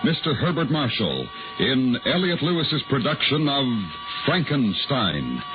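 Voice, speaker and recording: Casting: dramatic, measured male announcer for 1950s radio, animated delivery, narrow muffled sound with music behind. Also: medium pitch. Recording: muffled vintage radio recording. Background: prominent music.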